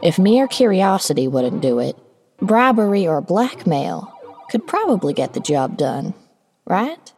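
A woman narrating a scripted first-person story in a measured, wry storytelling manner. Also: on the low side, big pitch swings, darkish tone.

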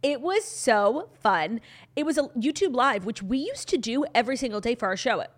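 Slightly hoarse woman gushing on a podcast. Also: fairly high pitch, lively intonation. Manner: gushing, fast, heavy use of "like". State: a little hoarse. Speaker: podcast co-host. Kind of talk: casual chat.

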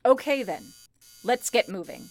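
A woman playing a calm, commanding telephone switchboard supervisor in an old-time radio play, with a deliberate, authoritative way of speaking. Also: on the high side, very noisy. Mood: brisk, commanding. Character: calm, commanding female telephone operator supervisor in wartime-like crisis.

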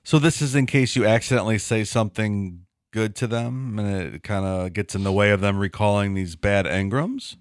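A man's voice: lowish voice, fairly even intonation, moderate pace, few pauses, no echo, clean studio sound. A man co-hosting a casual podcast, making crude wisecracks. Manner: wisecracking, swears. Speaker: podcast co-host.

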